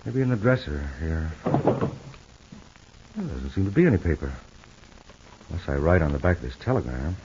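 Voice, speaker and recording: An actor playing a tender, brooding husband with an American accent, musing haltingly in a 1950s radio soap opera. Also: male voice, sits low, big pitch swings, a little mellow, a little hiss or hum. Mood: musing, hurt. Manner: halting, musing aloud to himself. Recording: muffled old radio recording.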